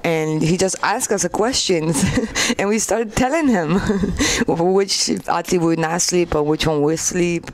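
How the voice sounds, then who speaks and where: A woman from Poland with a Polish accent, chatting casually and haltingly as a giggly radio interview guest. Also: fairly low pitch, no echo. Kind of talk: casual chat. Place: radio studio.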